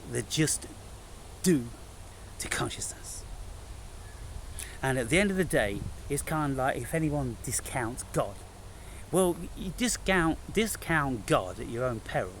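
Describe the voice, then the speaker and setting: Slow-talking man over clear background noise. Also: mid-range voice, poor audio. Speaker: spiritual vlogger and author. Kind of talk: unscripted spiritual monologue to camera.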